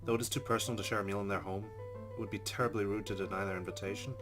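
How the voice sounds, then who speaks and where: A man's voice: fairly low pitch, fairly even intonation, rapid-fire. A man playing a visual novel on video, reading its narration aloud and adding wry, chatty asides. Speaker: let's play host. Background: game music.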